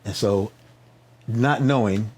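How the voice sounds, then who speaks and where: A man's voice: lowish voice, takes it slowly, a little mellow. A man speaking as a podcast guest, telling stories conversationally with preacher-like emphatic repetition, rhetorical questions and frequent check-in tags. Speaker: podcast guest, nonprofit founder. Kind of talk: animated conversational storytelling, interview.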